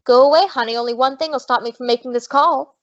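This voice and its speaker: A woman's voice: sits high, fast, dull audio, lightly acted. A woman playing a brash, plucky young wisecracking blonde in old-time radio comedy, slangy and indignant. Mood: defiant.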